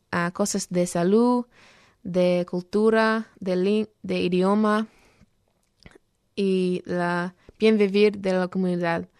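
A woman talking earnestly on a program, halting often as she searches for words. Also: medium pitch, clean tone, no room sound, clean studio sound. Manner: earnest, explanatory. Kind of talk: program talk on social issues.

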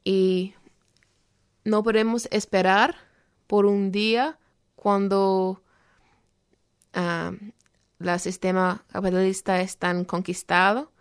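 A woman talking earnestly on a program, halting often as she searches for words. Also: medium pitch, little pitch movement, smooth, no room sound, studio quality. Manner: earnest, explanatory. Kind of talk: program talk on social issues.

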